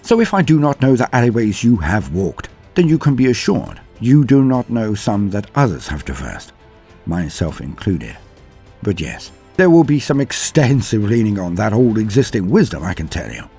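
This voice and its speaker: Male lore narrator, theatrical and florid, deep husky voice with lively swings in pitch. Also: normal speed. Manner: theatrical, florid wording, self-deprecating. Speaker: lore channel host and narrator. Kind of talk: scripted lore narration with chatty asides. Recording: music under the voice. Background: background music.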